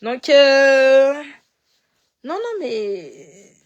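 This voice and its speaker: A woman with a slight Malagasy accent talking in a casual, animated way, repeating words as she goes and switching between languages. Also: high voice, very slow, lo-fi sound. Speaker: political commentator. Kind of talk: casual live talk.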